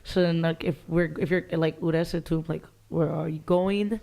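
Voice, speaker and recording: Gravelly young woman, blunt and profane. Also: sits low, steady tone, a little slow, dark tone, slight background noise. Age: young adult. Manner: blunt, profane, laughing storyteller. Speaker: podcast co-host. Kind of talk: casual chat.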